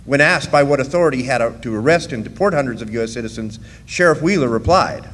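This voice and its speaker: A man's voice: mid-range voice, expressive, fast talker, a bit bright, close to an everyday voice. An actor playing a wry rail-riding drifter who looks back on his past as narrator of a radio play. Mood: wry, reflective. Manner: storytelling, dryly wry. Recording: live hall reverb, faint background noise.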